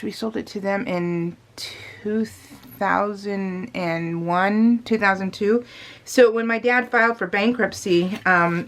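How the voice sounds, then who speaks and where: A middle-aged woman talks casually, as a craft vlogger does to her regular viewers. Her voice sits at an average pitch. She rambles along in a confiding way and leans hard on the words she cares about. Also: a bit bright, a little hiss or hum. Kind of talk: casual chat.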